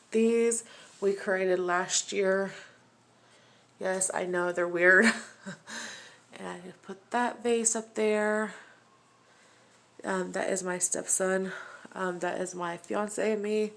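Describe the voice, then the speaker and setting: A woman's voice: medium pitch, steady tone, gravelly, a bit bright. A woman from Ohio with a nasal, allergy-stuffed voice, giving a casual home tour on a handheld phone and filling her talk with lots of ums. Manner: slow, filler-heavy chatter with many ums. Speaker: home vlogger. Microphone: phone held in hand.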